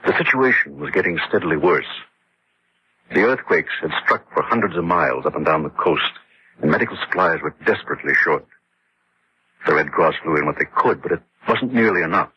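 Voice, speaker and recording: A man's voice: sits low, animated, fairly quick, breathy edge, heavily acted. An actor playing a defensive, rationalizing husband who tells his story in dramatic radio horror style. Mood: grim, reflective. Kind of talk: dramatic first-person radio horror narration and dialogue. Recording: muffled old-time radio drama recording.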